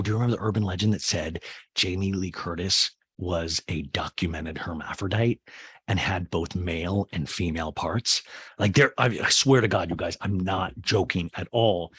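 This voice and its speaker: A man's voice: lowish voice, a bit bright, slightly rough, no room sound, clean studio sound. An American man hosting a podcast, giving a casual comic recap in a rambling, self-interrupting way. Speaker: podcast host.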